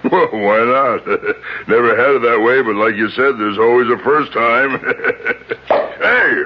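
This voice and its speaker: A man's voice: mid-range voice, takes it slowly. An actor playing a smug, domineering, crude crook in a 1970s radio drama, with slangy tough-guy talk. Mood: amused, jovial. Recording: muffled old radio broadcast, faint noise.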